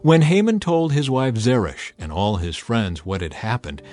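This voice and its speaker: Expressive male narrator over music. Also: medium pitch, normal speed. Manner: emphatic, theatrical storytelling. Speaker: audiobook narrator. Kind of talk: dramatized scripted Bible narration. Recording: music bed behind the voice.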